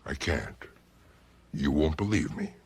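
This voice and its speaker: A man's voice: very deep voice, big pitch swings, unhurried, a little mellow, slightly rough, exaggerated voice. An actor playing an earnest, troubled husband recalling his lifelong love in a radio drama. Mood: troubled, resigned. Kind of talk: 1970s radio drama acting.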